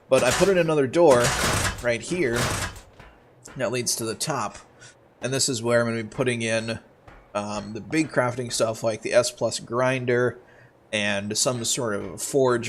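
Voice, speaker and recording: A man's voice: mid-range voice, slight background noise. A man with a cold, sounding stuffed up, hosting casual game commentary in a chatty, rambling way full of ums and uhs. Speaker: gaming channel host.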